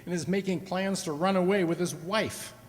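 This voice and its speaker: An actor playing a stern, forthright and accusing customer in a radio play. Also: male voice, sits high, steady tone, normal speed, close to an everyday voice. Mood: indignant. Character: stern, indignant male customer confronting a robot maker. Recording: echoey hall, stage radio play.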